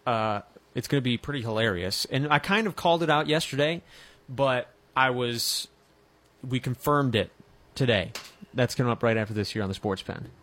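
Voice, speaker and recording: A man's voice: mid-range voice, moderate pace, fairly bright tone. A man in his twenties hosting a sports talk show, dry and teasing as he steers the talk. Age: 20s. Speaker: sports radio host.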